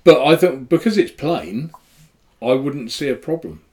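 A man's voice: mid-range voice, lively intonation, measured pace, a little mellow. A man in his sixties from Norfolk with a Norwich accent, co-hosting a casual live stream and reading viewer comments with hesitant fillers and frequent chuckles. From Norfolk, England. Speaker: co-host of a vaping live show. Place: home room, indoors.

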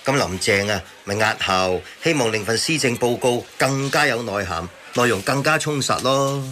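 A man playing a reasonable, informed character who explains the news in measured terms in a radio drama. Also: medium pitch, sharp tone, slight background noise, close to an everyday voice. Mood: reasonable, explaining.

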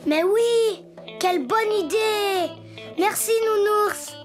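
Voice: extremely high pitch, slow pace. A performer voicing a cheerful, imaginative little boy donkey in a children's cartoon, with playful exclamations and simple singsong phrases. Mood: enthusiastic. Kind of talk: children's cartoon dialogue, talking to himself and viewers. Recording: music behind the voice.

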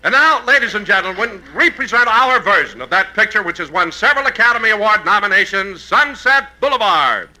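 Bright, forceful radio announcer. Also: male voice, sits high, lively intonation, fairly quick, hardly any pauses. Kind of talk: old-time radio announcing and scripted banter. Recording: noisy vintage radio broadcast with clicks.

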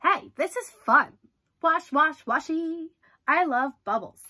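Woman voicing a stubborn, high-pitched storybook pigeon. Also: expressive, takes it slowly, bright, forceful tone, exaggerated voice. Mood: gleeful. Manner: whiny, exaggerated, protesting. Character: stubborn, dramatic cartoon pigeon dodging bath time. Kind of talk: children's storybook character read-aloud.

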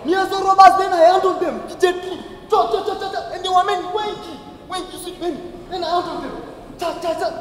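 A man playing a boastful, excitable, cowardly watchman in a comic stage play, repeating himself in exclamations. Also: very high voice, fast. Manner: repetitive, exclamatory bragging and pleading. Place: large auditorium stage. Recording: very echoey hall, distant mic, noisy.

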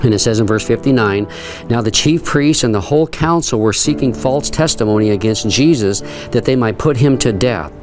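A man's voice: mid-range voice, moderate pace, music in the background. A middle-aged man leading a tour and teaching, reading scripture aloud and explaining it earnestly, with frequent fillers and restarts, on a stone terrace outdoors. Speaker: tour guide and Bible teacher. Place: outdoor stone terrace, then an underground rock dungeon.

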